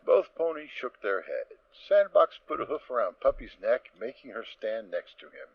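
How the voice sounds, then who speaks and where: A man's voice: medium pitch, sing-song, normal speed, gravelly, lo-fi sound. A man reading scripted story narration for an amateur audio drama.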